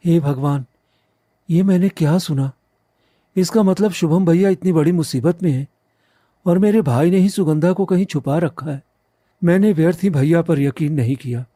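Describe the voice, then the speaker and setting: A loyal young villager played by a man in a cartoon story, earnest and pleading with his elders. His voice is rather high for a man but soft-edged and mellow. The sound is dry and close. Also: normal speed, no room sound, lightly acted. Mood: shocked. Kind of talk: Hindi cartoon story acting.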